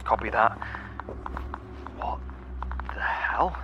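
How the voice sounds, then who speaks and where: A man's voice: very slow, dull audio, a little hiss or hum. A man playing a terse expedition leader in an audio drama, using clipped, military-style phrasing. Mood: businesslike. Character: terse male expedition leader exploring an anomaly. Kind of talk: naturalistic audio drama acting.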